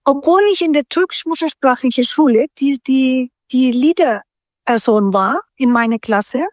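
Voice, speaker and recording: Woman, middle-aged, high voice, slow, thoughtful, restarts sentences. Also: no room sound, studio quality. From Turkey. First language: Turkish. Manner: thoughtful, searching for words with frequent restarts. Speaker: guest. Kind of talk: reflective interview conversation.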